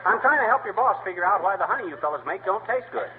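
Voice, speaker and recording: Brash wisecracking husband. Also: male voice, high-pitched, animated, very fast. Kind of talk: 1940s radio comedy acting. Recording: tinny, hissy old radio broadcast.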